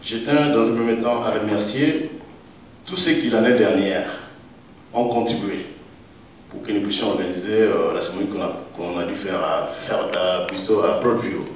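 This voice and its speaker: Middle-aged Congolese-accented man, low voice, halting public thank-you speech, very echoey distant recording. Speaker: event speaker giving a tribute. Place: event hall with faint room noise. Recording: echoey hall, distant mic, faint noise.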